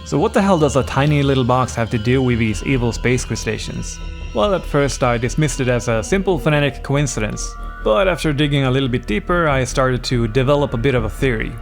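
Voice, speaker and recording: A man narrates a scripted lore piece, dropping wry jokes along the way. His voice sits in an ordinary middle register. Music plays clearly under him. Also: mid-range voice. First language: Swedish. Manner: wry, playful asides. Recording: voice-over with music bed.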